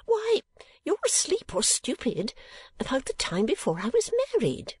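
A woman voicing a vain, rambling middle-aged gentlewoman mother in a storybook reading, with an affected, garrulous manner. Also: high voice, huge pitch swings, moderate pace, husky, no echo, voice pushed up, exaggerated voice. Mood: exasperated.

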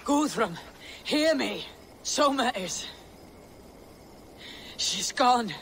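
A man playing a stoic, steadfast Viking warrior leader in a video game, speaking in a measured, solemn way. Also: very high voice, expressive, fairly bright tone, slight background noise, full character voice. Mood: urgent, grieving. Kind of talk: dramatic video game cutscene acting.

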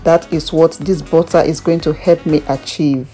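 Casting: Nigerian-accented woman, lower-pitched voice, chatty food vlogger walking through a recipe over background music. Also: steady tone, moderate pace, warm tone. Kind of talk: casual cooking tutorial narration.

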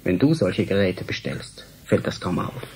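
An earnest, insistent young scientist. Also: male voice, sits low, big pitch swings, normal speed, vocal fry, darkish tone, slight background noise, exaggerated voice, low-quality recording. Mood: urgent, pleading. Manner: blunt, emphatic, explanatory. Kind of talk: German radio drama acting.

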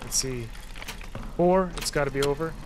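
High-voiced man playing a horror game. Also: moderate pace, noisy background. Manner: talks to himself, repeats phrases, excitable when startled. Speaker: host, gamer. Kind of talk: casual let's-play commentary of a horror game. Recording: loud game soundtrack under the voice. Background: loud horror game music and sound.

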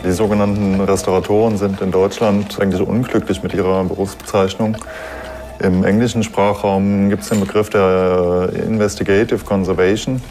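A man explaining his specialist work in an interview, matter-of-fact and halting, repeating words as he goes. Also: sits low, dark tone. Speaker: conservator, interviewed expert.

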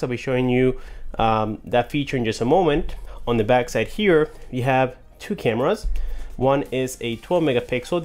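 A man with a mid-pitched voice presents a tech review in a casual unboxing style. He explains each point with enthusiasm and addresses viewers directly and informally. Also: a little mellow.

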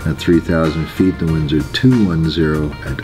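Middle-aged male instructor, deep voice, conversational briefing, music behind. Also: steady tone, moderate pace. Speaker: flight instructor.

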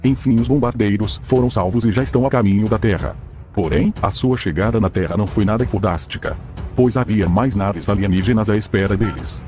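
A man's voice: on the low side, a little fast, a little mellow, soft background music. A man with a Brazilian accent reading scripted narration for a cartoon adventure.

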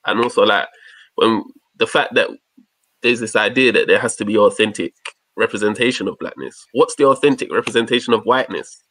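A man's voice: fairly low pitch, brisk, a bit bright, no echo, poor audio. A man on a panel drives his points home with pointed, rhetorical questions. He speaks through a webcam from a room at home with bookshelves, and the sound is close and dry. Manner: emphatic, questioning, argumentative. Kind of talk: panel discussion on a video call. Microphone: laptop webcam on a video call.

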